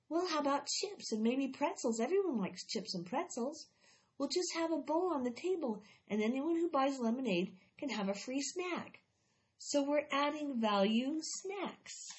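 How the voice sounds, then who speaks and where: A woman's voice: on the high side, darkish tone, lo-fi sound. A woman reading a children's chapter book aloud, lifting her voice for the children's lines. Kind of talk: read-aloud storytelling.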